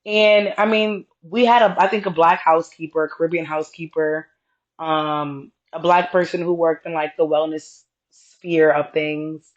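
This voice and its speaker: A woman co-hosting a podcast in casual conversation, with a deeper voice and a reserved, straightforward way of talking. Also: steady tone, measured pace, highs cut off. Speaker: podcast co-host.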